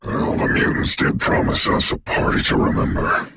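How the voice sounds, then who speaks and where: A man playing a grave, commanding dark sorcerer for a video game, with a breathy rasp, formal grandiose diction and eerie distorted processing. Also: normal speed, heavy background noise. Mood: solemn. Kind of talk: video game hero voice lines.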